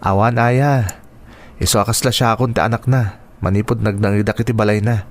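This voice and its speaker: An actor playing a caring, protective young nephew in a radio drama, explaining things earnestly. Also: male voice, fairly low pitch, fairly even intonation, a little fast, natural voice. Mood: pleading, earnest.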